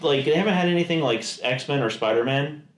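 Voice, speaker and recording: A man's voice: mid-range voice, a little fast. Rambling male podcast co-host, echoey room. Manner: rambling, full of restarts and quoted asides. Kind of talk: casual chat.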